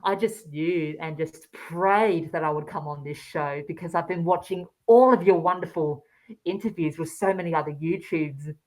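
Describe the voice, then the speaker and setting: A woman's voice: sits low, moderate pace, darkish tone, rough recording. A woman chatting casually as a podcast guest, gushing and effusive in the way they talk. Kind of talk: casual chat.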